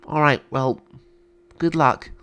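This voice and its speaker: Man doing a high-pitched, emotional young princess. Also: fairly even intonation, takes it slowly, fairly bright tone, clear, resonant tone. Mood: hopeful. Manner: pleading, emotional. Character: distressed, brave young sister of emperors. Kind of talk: amateur dramatic storytelling.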